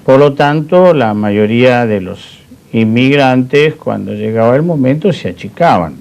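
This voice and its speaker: An older man from Spain, discussing history as an interview guest in a deliberate, discursive, formal way. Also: medium pitch, animated, vocal fry, a little mellow. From born in Madrid, Spain; settled in Argentina.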